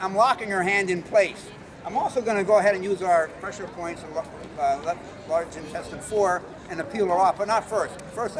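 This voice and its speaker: A man teaching self-defense as an instructor, informally talking through each move outdoors. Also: sits high. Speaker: martial arts instructor. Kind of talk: hands-on self-defense demonstration. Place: outdoors on public concrete memorial steps. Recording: outdoor city noise, people around.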